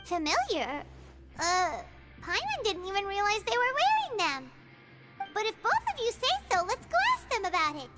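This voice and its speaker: Squeaky, childlike little fairy companion. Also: female voice, huge pitch swings, moderate pace, over loud music, full character voice. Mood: chatty, curious. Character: small childlike floating fairy companion, chirpy, talks in third person. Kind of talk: video game voice acting.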